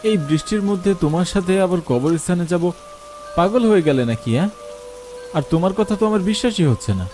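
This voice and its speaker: A man playing a sceptical, baffled storyteller in a first-person horror cartoon, with an expressive, questioning manner. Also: sits high, fast, soft background music. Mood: disbelieving. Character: sceptical, baffled man narrating his ghostly night encounter. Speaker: narrator and lead character.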